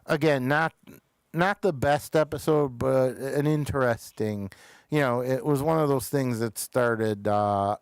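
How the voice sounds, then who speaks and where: A man's voice: mid-range voice, measured pace, clean tone, no room sound. A man hosting a casual review chat, often hesitant as he searches for words and details. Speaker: show host reviewing television episodes.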